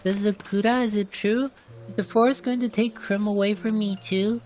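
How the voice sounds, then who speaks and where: A woman's voice: mid-range voice, steady tone, moderate pace, a little mellow, close to an everyday voice. A woman voicing an anxious, guilt-ridden young girl in a fantasy game cutscene, pleading and plaintive. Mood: fearful. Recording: music behind the voice.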